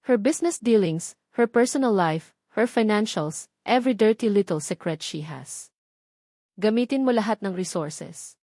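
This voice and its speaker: A woman's voice: mid-range voice, animated, normal speed, warm tone, no echo, clean studio sound. Woman voicing a cold, hard, commanding businessman. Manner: commanding, unwavering, code-switching between Tagalog and English. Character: cold, hard businessman protective of his family.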